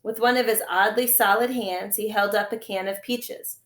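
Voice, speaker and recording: Female teacher narrating children's fiction, expressive read-aloud, mid-pitched, noticeably roomy recording. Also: moderate pace. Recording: echoey room.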